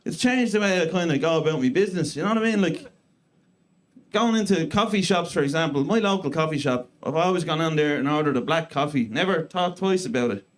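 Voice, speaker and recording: Irish stand-up in his thirties, slightly raised pitch, dry crude self-mockery. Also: fairly quick. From Ireland. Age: 30s. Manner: deadpan self-mocking delivery with crude punchlines. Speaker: stand-up comedian. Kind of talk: stand-up set. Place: comedy club stage before a live audience.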